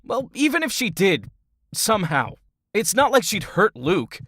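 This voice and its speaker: A woman's voice: medium pitch, very animated, unhurried, fairly bright tone, breathy edge, dry recording, studio quality, exaggerated voice. A woman playing a blunt, skeptical adventurer in a fantasy audio drama, with a wry way of speaking. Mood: worried, disbelieving.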